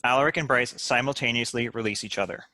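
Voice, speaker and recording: A man's voice: mid-range voice, quick pace, bright, forceful tone, dry recording. A man reading stage directions as scripted narration for a table read.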